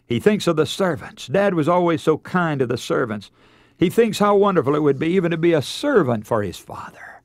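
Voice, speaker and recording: An American man delivers a radio sermon as a dramatic story. His voice sits a little high and swings widely in pitch, with an emotive, theatrical delivery. The sound is dry and close. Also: very animated, brisk, no room sound. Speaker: preacher.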